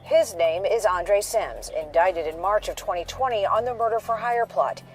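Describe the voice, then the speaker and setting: A woman narrating a news report in a measured, factual manner. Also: mid-range voice, music in the background.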